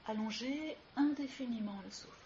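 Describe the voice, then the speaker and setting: A woman leading a guided yoga relaxation as instructor, giving soothing, unhurried instructions with long pauses, recorded live. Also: a little high, dark tone. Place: live session with ambient sound. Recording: muffled live recording with clear hiss.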